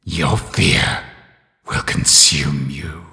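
A man voicing a menacing, sadistic undead animatronic rabbit killer in a video game, with a growling, gravelly voice and drawn-out delivery. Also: very deep voice, steady tone, pushed and bright, heavily acted. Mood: threatening. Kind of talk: video game villain voice lines. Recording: long cavernous reverb.

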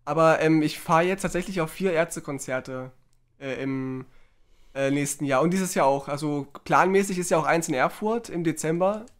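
A man co-hosting a podcast, chatty and rambling, with hesitations and fillers between thoughts. His voice sits a little higher than usual for a man. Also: normal speed. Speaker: podcast co-host. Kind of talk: casual podcast banter.

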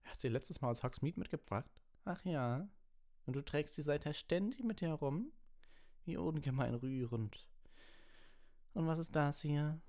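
Male reader playing sneering professor, raised pitch, sarcastic, menacing, commanding, audiobook. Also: normal speed, mellow, natural voice. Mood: sarcastic, suspicious. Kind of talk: audiobook character reading.

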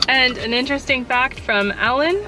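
A woman's voice: sits high, moderate pace, pushed and bright, rough recording. Young woman giving a practical campground tour. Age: young adult. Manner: clear, practical, friendly advice-giving. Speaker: travel vlogger touring a campground. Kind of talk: informative park tour narration. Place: outdoors in a wooded park. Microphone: camera held at arm's length.

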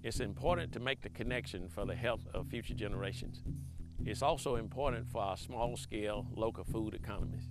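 A middle-aged man giving a scripted presentation in a steady explanatory way. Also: fairly low pitch, a little fast. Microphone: lapel. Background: loud plucked guitar music.

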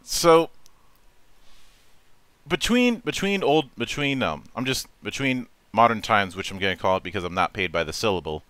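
A man's voice: lowish voice, expressive, normal speed, a bit bright, dry recording. A man hosting a live interview, often stammering and repeating his phrases as he goes. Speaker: show host.

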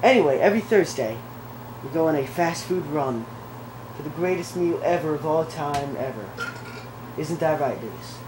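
A man's voice: on the high side, expressive, normal speed, echoey room, noisy background. A teenage boy co-hosting a casual vlog at home, teasing and wisecracking. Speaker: vlog co-host. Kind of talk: casual chat.

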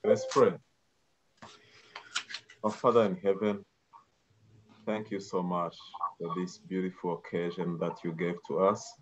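Slow deep-voiced man praying. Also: level tone. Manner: slow, reverent. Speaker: church member leading prayer. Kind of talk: spoken prayer. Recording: dull lo-fi recording.

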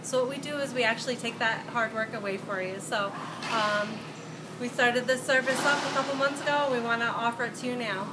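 A woman's voice: fairly high pitch, steady tone, fairly quick. A young woman who runs a catering business, explaining it in a friendly interview, filmed from across an indoor room. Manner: friendly, explanatory, some ums. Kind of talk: casual interview. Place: bright indoor room with glass wall. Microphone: far camera. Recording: camera audio with loud background noise.

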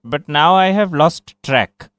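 A man's voice: a little high, expressive, slow, a bit bright, no echo. A man playing a tipsy, hesitant husband on a prank call, rambling and repeating himself. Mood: confused, rambling. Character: tipsy, rambling husband baking a Christmas cake. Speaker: radio host making a prank call.

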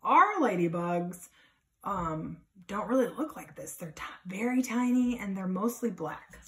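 A woman's voice: mid-range voice, animated, measured pace, fairly bright tone. A woman in her thirties reading a storybook expressively to children, adding simple explanations. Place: small plain indoor room. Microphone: phone or camera a few feet away. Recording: echoey room, distant phone mic.

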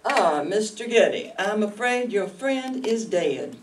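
A grave doctor in a radio mystery, played by an actor, speaks in a measured, matter-of-fact way. The voice is mid-pitched and distant from the mic, with faint room noise. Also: lively intonation. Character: grave, matter-of-fact doctor who sees through lies. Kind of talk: radio mystery acting before a live audience.

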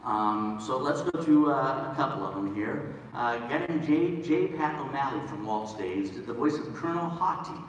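Lively male panel host in echoey hall. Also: mid-range voice, normal speed, hardly any pauses. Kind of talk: introducing guests at a live fan panel. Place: large convention hall, recorded from the audience. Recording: echoey noisy convention hall from far off.

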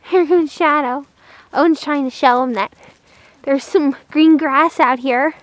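A woman's voice: high-pitched, a little slow, no echo. A woman narrating a casual horse vlog in a chatty way, speaking fondly of her animals. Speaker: horse vlogger.

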